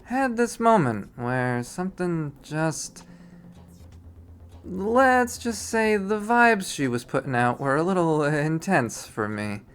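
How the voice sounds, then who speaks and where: A man's voice: fairly high pitch, sing-song, slow, a bit bright, smooth, faint music behind, pitched above the performer's own voice, full character voice. A man voicing a brash, vain young college guy in a visual novel, with slangy, swaggering talk. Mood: cocky, teasing.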